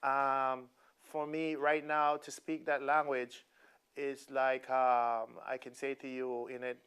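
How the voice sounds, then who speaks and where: A Jamaican chef, a man, explaining things slowly and haltingly on a cooking show, with many pauses and ums. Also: mid-range voice, little pitch movement, a bit bright, clear, resonant tone. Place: studio kitchen.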